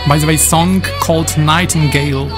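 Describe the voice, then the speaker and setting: A young man with a Ukrainian accent, hosting a casual music reaction, enthusiastic and quick to exclaim, speaking into a studio mic in a small room. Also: on the high side, steady tone. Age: young adult. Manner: enthusiastic, exclaiming and laughing often. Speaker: YouTube reaction host. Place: small indoor room with a patterned curtain. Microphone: studio microphone in front of him. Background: the song he is reacting to playing.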